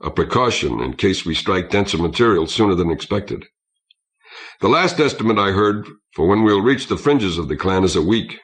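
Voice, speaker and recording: A man's voice: sits low, expressive, moderate pace. A man voicing a weary, grave male starship officer in an audiobook, speaking in a clipped, matter-of-fact way. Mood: brisk, matter-of-fact.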